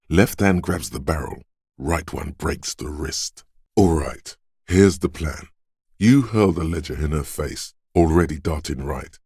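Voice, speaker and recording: A man voicing an inner voice of physical instinct in a video game, advising and narrating in a deliberate, precise, instructing way. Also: rumbling low, slightly rough, no echo, clean studio sound, exaggerated voice. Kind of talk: video game voice-over, second-person narration.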